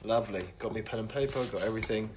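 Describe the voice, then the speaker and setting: A man hosting a casual podcast, asking a guest questions in a relaxed, friendly way. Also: lowish voice, racing, slight background noise. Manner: relaxed, chummy, laughs easily. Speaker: podcast host, interviewer. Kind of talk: casual interview chat.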